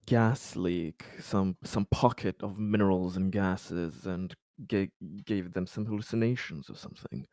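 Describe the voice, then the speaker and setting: A man's voice: low voice, unhurried, few pauses, a little mellow, no echo, studio quality. A man chatting casually as a player in a tabletop roleplaying game.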